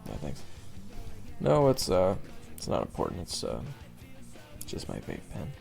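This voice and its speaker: A man's voice: low-pitched, huge pitch swings, measured pace, creaky, darkish tone, exaggerated voice. Man playing a cocky, laid-back student, swooping delivery. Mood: teasing, relaxed. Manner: slangy, teasing, offhand. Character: cocky, laid-back young male monster-hunting college student. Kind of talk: audio roleplay acting, addressing the listener. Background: guitar music.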